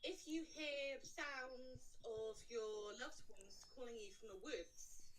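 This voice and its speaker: A woman hosting a casual spooky folklore show, chatting and telling stories in a measured way with pauses between phrases. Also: on the high side, a bit bright, slight background noise, poor audio. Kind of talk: casual chat and storytelling.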